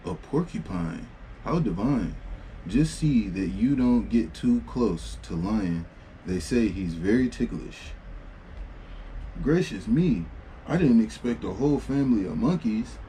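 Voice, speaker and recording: A man acts out a young storybook girl pretending to be a librarian. The delivery is polite, chatty and a little bossy, with a mellow tone. Background noise sits under the voice. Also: fairly low pitch, expressive, a little slow, poor audio. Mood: gracious, excited. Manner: gracious, chatty, mildly fussy. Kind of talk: storybook read-aloud dialogue.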